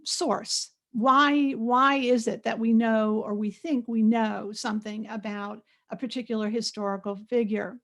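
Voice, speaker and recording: Older woman, soft voice, slightly high pitch, very slow pace, conversational, hesitant, informal online lecture. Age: older, around 70. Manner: conversational, hesitant, with frequent pauses. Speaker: guest lecturer, art historian and curator. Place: home office, on a video call. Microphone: added external microphone on a computer.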